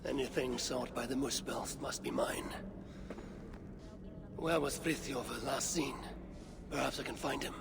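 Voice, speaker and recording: A proud, commanding Norse god. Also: male voice, medium pitch, a little slow, vocal fry, long pauses, noisy background. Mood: resolute. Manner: imperious, blunt, formal archaic phrasing. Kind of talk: dramatic video game voice acting.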